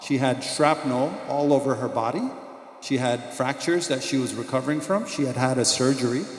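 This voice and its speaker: A doctor giving a mosque lecture, telling personal stories in an earnest way that turns quiet and reverent at moments. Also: male voice, mid-range voice, moderate pace. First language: not Arabic. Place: mosque hall. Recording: echoey hall, long reverb.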